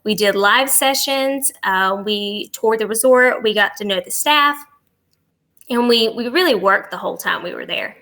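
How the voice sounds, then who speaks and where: A woman's voice: a little high, measured pace, sharp tone. A woman speaking as a podcast guest and travel agent, giving agreeable, informal answers with a promotional bent.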